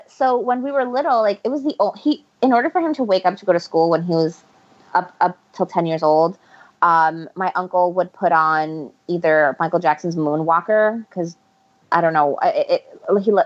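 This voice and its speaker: Woman author guest from Ecuador, slightly low voice, rambling and self-correcting, dull lo-fi sound. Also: normal speed. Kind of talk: casual interview chat. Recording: muffled lo-fi audio.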